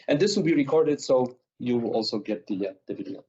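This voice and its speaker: A man's voice: mid-range voice, lively intonation, brisk, dark tone, distant mic, muffled recording. A man hosting an event, introducing the speaker in a casual way that leans on filler phrases. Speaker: event organizer.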